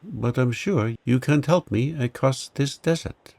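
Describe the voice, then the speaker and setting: A man's voice: medium pitch, unhurried, vocal fry, a little mellow, voice pushed up. A man voicing a polite, timid little girl in a storybook reading, speaking earnestly. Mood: surprised.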